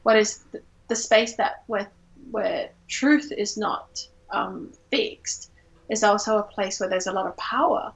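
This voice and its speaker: A woman's voice: medium pitch, unhurried, rough recording. A woman from Singapore, a poet and teacher, talking reflectively as an interview guest and searching for her words. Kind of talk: reflective literary interview talk.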